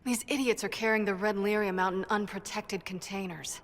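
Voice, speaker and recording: A woman's voice: mid-range voice, steady tone, brisk, a bit bright, natural voice. A woman playing a brash, defensive female dwarf inventor in a video game, blunt and wry. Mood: impatient, matter-of-fact. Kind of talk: video game cutscene acting. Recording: echoey cavern reverb, background noise.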